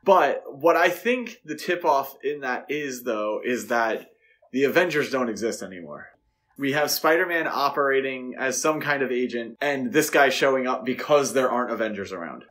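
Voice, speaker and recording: A man with a middle-pitched voice talks as a podcast co-host. He is casual and conversational, thinking out loud as he speculates. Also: lively intonation, moderate pace. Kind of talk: casual film discussion podcast.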